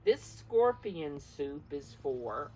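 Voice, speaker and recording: Female preschool teacher, low voice with lively swings in pitch, encouraging and emphatic, interactive storybook read-aloud, faint background noise. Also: extremely slow, rough recording. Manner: encouraging, simple explanations with questions to the listeners. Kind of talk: interactive picture-book read-aloud for young children.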